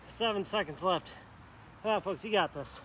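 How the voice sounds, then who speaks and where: A man's voice: high voice, moderate pace, noisy background. A man leading a live workout in a park, coaching upbeat and encouraging while doing the moves himself and joking about his own slip-ups. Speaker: fitness instructor. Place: outdoor park.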